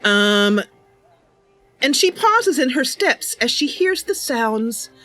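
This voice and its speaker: Chatty lady game streamer, friendly, slow pace but real up n down voice, music in back. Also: high-pitched, big pitch swings, a bit bright, no echo. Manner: giggly, chatty, welcoming. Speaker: streamer, roleplay game host. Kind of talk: casual livestream game commentary with narration.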